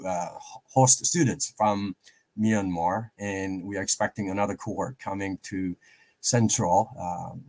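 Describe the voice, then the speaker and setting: A middle-aged man from South Korea with a Korean accent, explaining things politely and in a measured way as an interview guest. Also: fairly low pitch, darkish tone, low-quality recording. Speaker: interview guest, professor and preaching pastor. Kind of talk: conversational interview.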